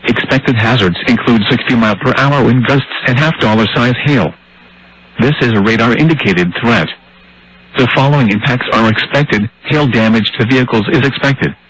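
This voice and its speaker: A man's voice: mid-range voice, animated, fairly bright tone. Synthetic male weather radio announcer with static. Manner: synthetic, measured, impersonal delivery. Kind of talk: formal scripted emergency warning readout. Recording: noisy, hissy weather radio broadcast. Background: radio static and hiss.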